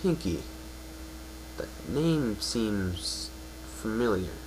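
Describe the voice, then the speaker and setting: A man's voice: fairly low pitch, very animated, drawn-out words, full character voice. A man voicing a confident, easygoing young male pony with a casual manner in a fan dramatic reading. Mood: recalling. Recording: home recording with faint hum.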